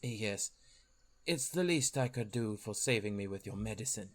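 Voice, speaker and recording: A man playing a gentle, perceptive elf in a fantasy audio drama, courteous and formal. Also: lowish voice, expressive, moderate pace. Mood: gentle, earnest. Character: gentle, perceptive male elf offering humble service.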